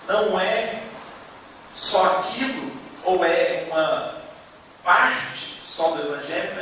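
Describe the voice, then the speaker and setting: High, bright-voiced Brazilian man in echoey room. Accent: Brazilian Portuguese. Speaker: theology teacher. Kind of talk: classroom lecture, explaining with examples. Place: classroom, indoors. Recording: echoey room, distant mic, faint noise.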